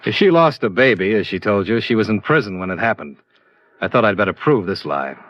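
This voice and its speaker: An actor in a 1950s radio drama playing a blunt, hard-boiled insurance investigator who talks in a terse, matter-of-fact way. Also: male voice, fairly low pitch, sing-song, fairly quick, no room sound. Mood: firm, businesslike. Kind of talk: 1950s radio detective acting. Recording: dull vintage radio recording.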